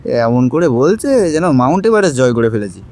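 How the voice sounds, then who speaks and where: A man's voice: mid-range voice, very animated, fairly quick, a little mellow, a little hiss or hum. A man playing a cocky, dismissive young hero, nonchalant and boastful, mixing in English, in an audio drama.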